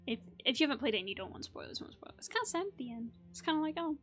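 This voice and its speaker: A woman's voice: high voice, very animated, very fast, a bit bright. A young woman giving casual, playful commentary as she plays a video game. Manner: chatty, playful. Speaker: gaming content creator.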